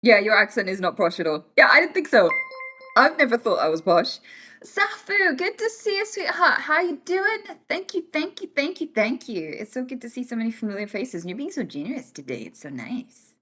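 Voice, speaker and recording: Lively British woman chatting on stream. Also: a little high, fairly quick. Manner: chatty, teasing, thanks viewers warmly by name. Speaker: livestream host. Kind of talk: casual chat with live viewers.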